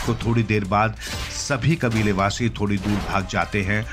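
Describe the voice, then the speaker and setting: A man's voice: lowish voice, fairly even intonation, moderate pace. A man narrating a scripted fantasy story for children as its storyteller. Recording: clear music bed under the voice. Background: background music.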